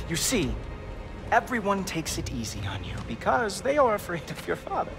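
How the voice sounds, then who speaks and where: Sneering, wildly swooping arrogant god. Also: male voice, high voice, full character voice. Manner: condescending, theatrical, drawn-out mocking delivery. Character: arrogant, sneering male god, contemptuous bully. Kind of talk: video game cutscene acting.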